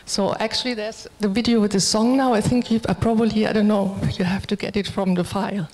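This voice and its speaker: A woman giving an informal project presentation, speaking in a halting, hesitant way as a native German speaker. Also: medium pitch, steady tone, darkish tone. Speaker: conference presenter. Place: hall, presenting to an audience. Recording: reverberant hall sound.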